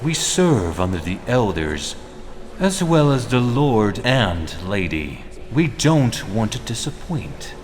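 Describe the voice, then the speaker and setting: Man doing polite vampire servant, slow and lowish, formal, echoey hall. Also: big pitch swings. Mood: deferential. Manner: formal, courteous, measured. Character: deferential male vampire servant of the elders. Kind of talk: scripted fantasy role-play voice acting. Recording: long hall reverb, faint noise.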